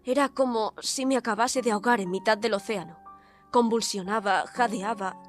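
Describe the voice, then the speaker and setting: Audio drama role: indignant young woman reporter played by an actress, highish voice, dramatic and articulate, music behind. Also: normal speed, close to an everyday voice. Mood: grave, recounting. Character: defiant young woman reporter posing as an asylum inmate. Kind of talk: audio drama narration and dialogue. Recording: music under the voice.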